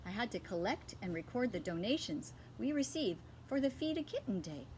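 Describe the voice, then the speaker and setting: A woman's voice: medium pitch, very animated, normal speed, a little mellow, close to an everyday voice. Lady reading as a bubbly scatterbrained mom from a kids book, emphatic, swoops up and down, bit noisy. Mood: eager, cheerful. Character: eager, scatterbrained mother of a child, cheerful.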